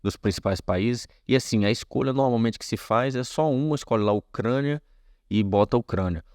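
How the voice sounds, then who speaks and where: A man's voice: fairly low pitch, a little mellow, no room sound, studio quality. A man with a Brazilian accent hosting a casual step-by-step tech tutorial, explaining informally and speaking directly to viewers.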